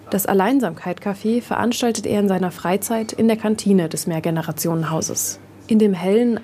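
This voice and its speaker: Female reportage narrator, mid-pitched relaxed voice, reading a prepared feature script. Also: slight background noise.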